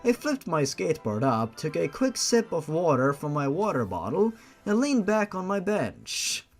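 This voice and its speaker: Sarcastic male reader, higher register, very lively, dramatic narration with exasperated rants, music underneath. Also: normal speed. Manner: sarcastic, foul-mouthed, exasperated. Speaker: host reading fan fiction aloud. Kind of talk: dramatic story reading with ranting asides.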